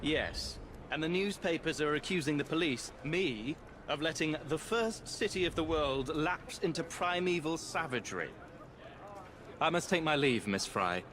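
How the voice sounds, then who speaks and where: Weary, grave police inspector. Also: male voice, a little high, expressive, measured pace, fairly bright tone, a little hiss or hum. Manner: grave, formal. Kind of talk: dramatic video game acting.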